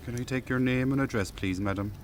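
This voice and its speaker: A man's voice: mid-range voice, quick pace, close to an everyday voice. A man playing a courteous, kindly Irish police officer in a radio drama. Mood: sympathetic.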